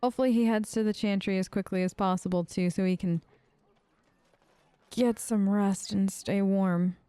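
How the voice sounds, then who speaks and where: A woman giving casual, chatty role-play commentary over a video game, playful and gentle, often hesitating and tagging on questions. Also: medium pitch, steady tone, moderate pace, smooth, no echo. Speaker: gamer playing a role-play let's play.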